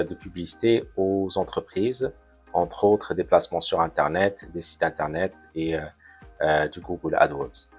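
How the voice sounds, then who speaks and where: A man in his forties from Morocco speaks in a fairly low voice. He answers interview questions in a measured, deliberate way, often pausing on fillers. Faint music plays softly under his voice. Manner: measured, many hesitations with "euh". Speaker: immigration consultant, guest.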